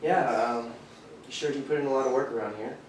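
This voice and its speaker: A man acting a smug bully's sidekick in a stage play, falsely friendly and middle-pitched. The voice is distant and washed in heavy echo. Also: a little fast, natural voice. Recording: very echoey stage, distant mic, faint noise.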